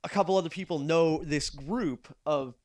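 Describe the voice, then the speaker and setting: A man's voice: on the high side, normal speed, a little mellow, slight background noise. A young man co-hosting a casual podcast, joking and teasing his friend, often trailing off and restarting. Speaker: podcast co-host. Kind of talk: casual rambling chat.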